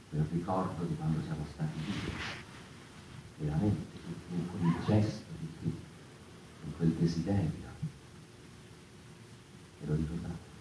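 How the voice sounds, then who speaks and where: Deep, echoey brooding stage man. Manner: halting, reflective, phrase by phrase. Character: brooding man speaking for the mouse people. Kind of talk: theatrical monologue. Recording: echoey, distant, faintly noisy room recording.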